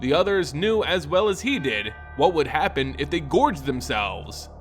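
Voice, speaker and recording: A man with a fairly high, very animated voice narrates a dramatic tale. His phrasing is theatrical and measured, and light music plays underneath. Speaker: lore channel narrator. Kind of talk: dramatic scripted story narration. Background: background music.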